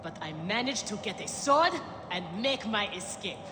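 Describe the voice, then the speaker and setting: A woman's voice: medium pitch, expressive, normal speed, natural voice. A woman playing a brash, boastful female gladiator with a swaggering, exuberant manner. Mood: dark, defiant. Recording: reverberant hall, noisy ambience behind.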